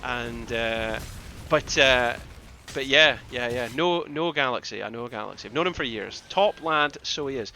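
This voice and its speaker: A man from the Scottish Highlands with a Scottish accent, casting a game live in a conversational, rambling way and repeating phrases for emphasis. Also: unhurried, few pauses, pushed and bright, a little hiss or hum. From Scottish Highlands, northeast Scotland. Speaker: esports caster and streamer. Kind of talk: live game commentary with casual asides to chat.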